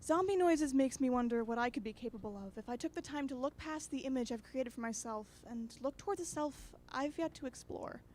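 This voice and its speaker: A woman's voice: sits high, animated, fairly quick, few pauses, a little mellow, a little hiss or hum. A woman playing a reflective, whimsical storytelling host, narrating a radio anthology in a musing, theatrical way.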